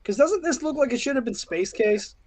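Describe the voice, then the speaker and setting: A man's voice: very high-pitched, lively intonation, fairly quick, darkish tone. A man presenting things casually as a panelist in a group show-and-tell.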